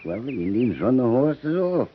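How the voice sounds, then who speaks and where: A man's voice: mid-range voice, very animated, normal speed, lightly acted. A man playing a stubborn grumbling homesteader. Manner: grumbling, drawling complaint. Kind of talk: 1950s radio drama acting. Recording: thin phone-like old radio recording, faint hiss.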